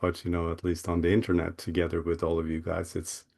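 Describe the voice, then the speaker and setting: A man from Norway speaking as a guest on a video call, eager and warm in his words, often restarting and repeating words. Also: low voice, monotone, fast, vocal fry. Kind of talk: casual talk on a video call. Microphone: computer mic on a video call.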